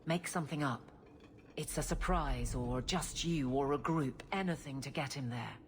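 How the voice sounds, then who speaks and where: An actress playing a proud guard captain who is blunt, flustered and halting, awkward about romance, in a video game cutscene. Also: female voice, a bass voice, a little hiss or hum.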